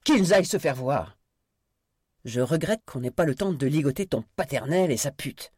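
A man's voice: a little high, expressive, moderate pace, dark tone, breathy edge, no echo, clean studio sound, voice pushed up. A man reading an audiobook, voicing a wounded, vengeful male criminal who is coarse, grumbling and aggressive. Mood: spiteful.

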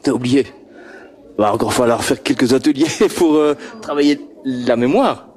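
A man's voice: mid-range voice, moderate pace, darkish tone. A man interviewing children at a homework-help group on a handheld mic. He stammers, repeats words and chuckles often. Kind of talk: friendly questioning of children. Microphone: handheld microphone passed around.

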